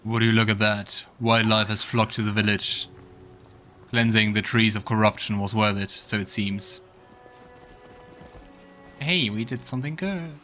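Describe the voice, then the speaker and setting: A man's voice: fairly low pitch, measured pace, long pauses, pushed and bright. A man hosting a let's play, commenting casually on the game, muttering reactions and reading game text aloud. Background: faint game music.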